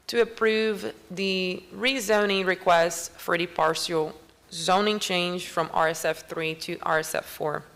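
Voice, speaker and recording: A woman's voice: fairly low pitch, a bit bright. A woman on county planning staff, formally reading a staff report at a public meeting while losing her voice. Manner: formal, reads steadily with small restarts and clears her throat.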